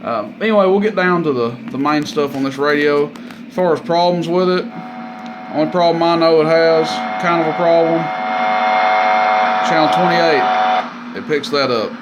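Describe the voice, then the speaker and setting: A man's voice: on the high side, moderate pace, long pauses, background noise. A man giving a casual, hands-on radio equipment demonstration in a plain-spoken, folksy, informal way. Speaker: product demonstrator and seller.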